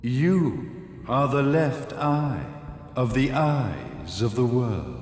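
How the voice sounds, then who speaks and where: A stately old sage villain from a video game, speaking extremely slowly and theatrically in a reverberant hall. His pitch swings grandly from phrase to phrase, with faint music underneath. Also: big pitch swings, darkish tone. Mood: solemn, lecturing. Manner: slow, theatrical, pompous, formal. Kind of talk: dramatic video game cutscene acting.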